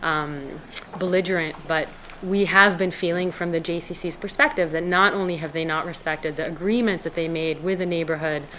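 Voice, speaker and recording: A woman's voice: fairly low pitch, steady tone, moderate pace, few pauses, fairly bright tone, slight background noise. A woman from Israel, a resident speaking at a town hearing, earnest and hesitant with many fillers and restarts. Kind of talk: public comment at a town hearing. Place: town meeting room.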